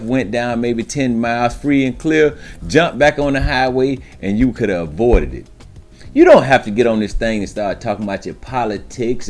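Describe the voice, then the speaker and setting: A man speaks at a middle pitch over faint music, like a seasoned trucker schooling new drivers. His delivery is informal and emphatic, with streetwise phrasing and rhetorical check-ins. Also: darkish tone. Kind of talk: informal instructional monologue to camera.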